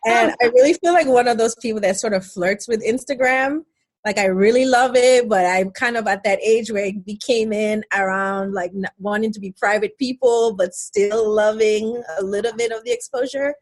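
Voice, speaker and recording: A woman's voice: fairly high pitch, moderate pace. A middle-aged woman from Nigeria, born in England, chatting casually and telling stories as an interview guest. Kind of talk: casual conversational storytelling.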